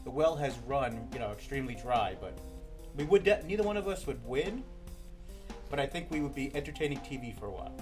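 Deadpan podcast guest. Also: medium pitch, fairly quick, faint music behind. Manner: dry, deadpan humor. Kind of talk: casual interview.

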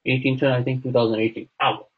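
A young man whose first language is Gujarati, answering viewer questions in a relaxed, rambling way at a mid-range pitch. He muses aloud and circles back on his own sentences. His voice comes through slightly dull, lo-fi stream audio. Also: fast, breathy edge. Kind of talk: casual livestream chat. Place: at home.

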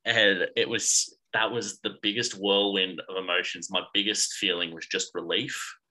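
A man's voice: low voice, flat delivery, normal speed, sharp tone, poor audio. A young man talking on a podcast, earnest, his sentences tumbling over each other. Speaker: podcast guest, sports fan. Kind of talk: casual chat.

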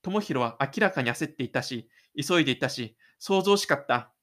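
A man's voice: medium pitch, animated, normal speed, a bit bright. A man narrating a scripted audio drama in a dramatic storytelling style.

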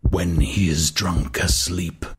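Vengeful boy acted by a man, audiobook drama. Low, incantatory, dragging, darkly brooding. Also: fairly even intonation, breathy edge, full character voice. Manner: slow, brooding, incantatory repetition. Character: anguished, vengeful boy muttering dark verse.

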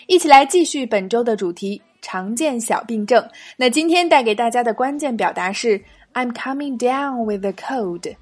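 A woman hosting a short language lesson in a clear, upbeat teaching voice, repeating the key phrases. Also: fairly high pitch. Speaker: English teacher and show host. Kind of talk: scripted lesson narration. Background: faint background music.

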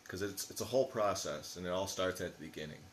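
A man's voice: low voice, fast, a little hiss or hum. A man in his late twenties chatting casually as a podcast guest, rambling along in slangy, profane language. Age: late 20s. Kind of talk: casual chat.

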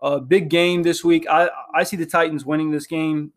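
A young man talks football picks in a halting, filler-heavy way. His voice sits a bit high but stays flat, with little rise and fall. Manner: hesitant, many ums and restarts. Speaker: podcast co-host. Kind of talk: casual sports chat.

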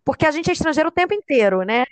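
A woman's voice: high voice, very animated, rapid-fire, no echo. A young adult Brazilian woman chatting casually in a sociable, conversational manner. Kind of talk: casual chat.

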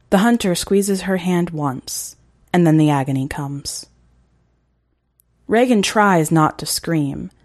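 Slow, measured woman reading fiction. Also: sits low, a little mellow, dry recording, clean studio sound. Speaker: podcast host reading a short story. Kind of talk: scripted fiction narration.

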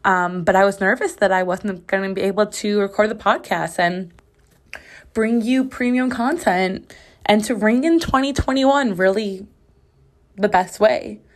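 A young woman talks through her solo podcast in a loose, chatty ramble, peppered with "like." Her voice is slightly raspy as it recovers after being lost. She records from her bathroom at home. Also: medium pitch. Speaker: podcast host. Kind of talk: casual solo podcast chat.

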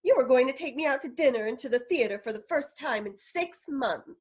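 High-voiced, scolding young fiancée played by a woman. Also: animated, brisk, a little mellow, husky. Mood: indignant. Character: peeved but loving young fiancée, scolding. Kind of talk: old time radio drama acting.

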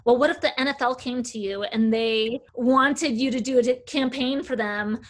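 A woman in her forties, an agency founder, telling her career story in a relaxed interview with frequent "uh"s. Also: on the high side, moderate pace. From United States. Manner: chatty, fluent storyteller with filler "uh"s. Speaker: agency CEO, guest. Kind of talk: casual interview talk.

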